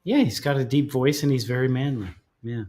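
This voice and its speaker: A middle-aged man co-hosting a comedy morning show. He talks in a loose, joking way and often puts himself down. His pitch sits in an ordinary range for casual banter. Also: a little fast, a little mellow. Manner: joking, self-deprecating.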